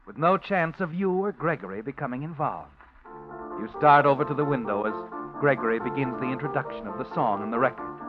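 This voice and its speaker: Ominous narrator of a radio thriller. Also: male voice, medium pitch, expressive, muffled recording. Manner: measured, ominous. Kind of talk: second-person radio drama narration. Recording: old radio recording with music underneath.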